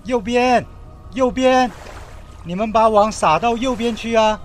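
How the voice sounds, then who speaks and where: An actor playing the risen Jesus as a calm, commanding divine teacher in a solemn biblical radio drama. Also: male voice, very high voice, unhurried, slight background noise.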